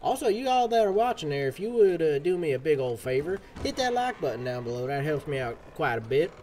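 A man's voice: a little high, very animated, fairly quick, darkish tone. Man streaming in a cowboy drawl. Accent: put-on American cowboy drawl. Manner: folksy, drawling cowboy slang. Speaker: live streamer. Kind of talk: casual in-character game stream chat.

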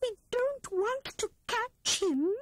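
A woman voicing a timid, excitable female squirrel with a squeaky, trembling voice in a storybook reading. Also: slow pace, no echo, full character voice. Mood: insistent.

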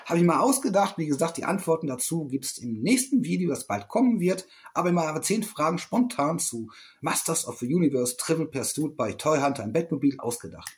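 Rambling middle-aged man with lively pitch. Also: a little fast. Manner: rambling, self-correcting, teasing. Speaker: toy collector vlogger. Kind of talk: casual quiz vlog. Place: small room indoors.

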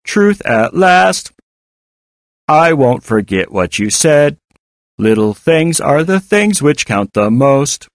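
A malicious robotic woman, acted out by a male audiobook reader, taunts in a very slow, drawn-out way. The voice is hard and artificial, swinging in pitch with mock sweetness. The sound is dry. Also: sing-song, no room sound, heavily acted. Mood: probing, smug. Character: malicious, taunting female robotic voice of a machine hacker. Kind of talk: audiobook character voice.

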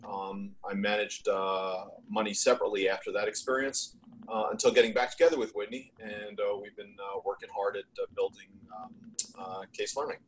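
A middle-aged American man with a low voice speaks as a webinar co-presenter. His phrases are measured and halting, with small restarts. There is faint noise in the webcam recording. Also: fairly even intonation, rough recording. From New Jersey, United States. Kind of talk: informal self-introduction on a webinar. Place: indoors on a video call.

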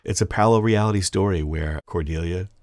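A man's voice: sits low, a little fast, a little hiss or hum. A man who works as a writer, talking in a behind-the-scenes interview. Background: music.

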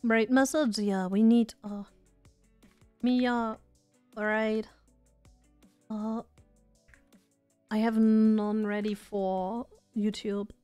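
A woman's voice: medium pitch, fairly even intonation, drawn-out words, long pauses, warm tone, clean tone, faint music behind. A woman streamer chatting casually and rambling as she loses her voice. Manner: rambling, chatty, self-deprecating. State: losing her voice. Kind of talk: casual live-stream chat.

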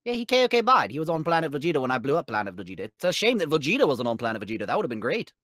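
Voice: medium pitch, big pitch swings, racing, fairly bright tone, no echo, clean studio sound. A performer playing a vain, snide alien tyrant in a fan question show, with smug, sardonic, offhand delivery. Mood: smug, gloating.

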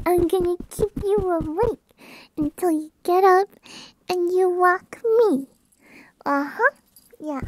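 A woman's voice: very high voice, darkish tone, dry recording, exaggerated voice, poor audio. A young woman playing a clingy, playful puppy girl in an ASMR roleplay, whining and pleading to the listener. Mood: playful, bossy. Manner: whiny pleading with yips and giggles.

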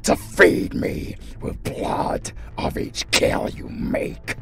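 A man voicing a bitter, imperious crippled vampire lord in a dark fantasy audio roleplay, in a menacing, rasping whisper. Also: drawn-out words, soft background music. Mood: commanding. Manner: menacing, theatrical.

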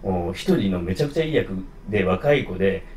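Animated, fast-talking man in a roomy space. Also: sits low. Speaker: actor being interviewed. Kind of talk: casual interview chat recounting a career. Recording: roomy, distant mic, faint noise.

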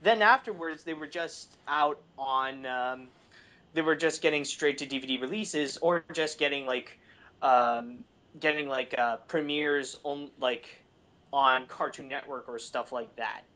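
A man in a casual film discussion, speaking haltingly and searching for his words, often saying "like". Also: medium pitch, bright, forceful tone. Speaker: podcast panelist.

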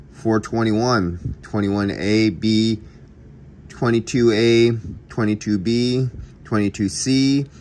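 A man's voice: lowish voice, slight background noise. Middle-aged man rambling through specs. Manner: rambling, restarts words, many fillers. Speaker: HVAC and automotive technician. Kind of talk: casual screen walkthrough.

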